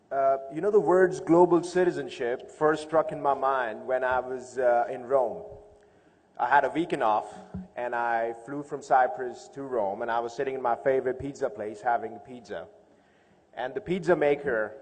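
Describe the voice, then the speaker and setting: A man's voice: medium pitch, a little mellow. A man giving an informal public speech as a conference speaker, conversational, telling stories and posing rhetorical questions. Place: large hall, speaking to an audience. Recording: echoey hall with long reverb.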